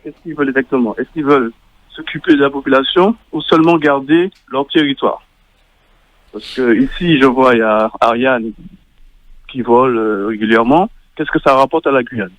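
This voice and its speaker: A man's voice: mid-range voice, moderate pace, no room sound. A man from Martinique phoning into a radio show, politely asking questions. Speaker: caller. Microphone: phone. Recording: muffled phone line.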